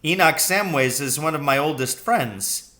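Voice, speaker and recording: A man's voice: a little high, lively intonation, measured pace, pushed and bright. A man voicing a proud, confident poacher father who speaks in an assured, instructive way in a storybook reading. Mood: pleased.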